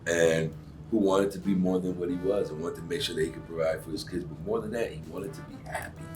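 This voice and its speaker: A middle-aged man from Cuba speaks in an extremely deep voice, earnest and emphatic as he talks through his thoughts. He is picked up by a microphone set some distance away. Faint music plays underneath. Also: fairly even intonation, fast. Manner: earnest, warm-hearted, emphatic, with many fillers. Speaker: actor and acting teacher, guest. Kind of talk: casual interview conversation.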